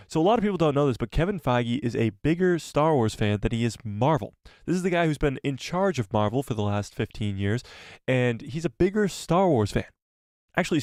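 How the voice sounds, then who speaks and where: A man with a cold, losing his voice, hosting a casual live stream; chatty and excitable, he greets viewers and reads out the chat. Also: mid-range voice, dry recording, clean studio sound.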